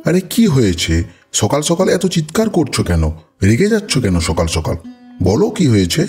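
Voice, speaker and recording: A man's voice: medium pitch, huge pitch swings, fairly quick, a little mellow, soft background music. A man voicing a mild, hesitant village householder in a cartoon. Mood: puzzled, reluctant. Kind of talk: Bengali cartoon voice acting.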